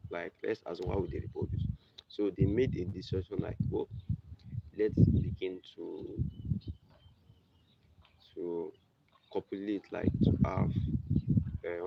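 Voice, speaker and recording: A man's voice: low voice, creaky. A young man with a Nigerian accent, rambling through a casual explainer monologue with many restarts and asides. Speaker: video presenter. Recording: muffled lo-fi recording with background noise.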